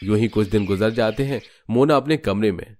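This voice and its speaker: A man narrating an animated moral story in a scripted storytelling style. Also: fairly low pitch, a little fast.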